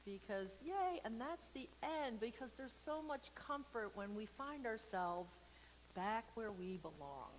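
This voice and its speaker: A woman hosting a casual read-aloud for kids, playful and expressive, talking straight to viewers with informal, encouraging asides. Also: on the high side, unhurried, in a large hall, a little hiss or hum, low-quality recording. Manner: playful, expressive, with silly sound effects and asides. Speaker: storyteller and church program host.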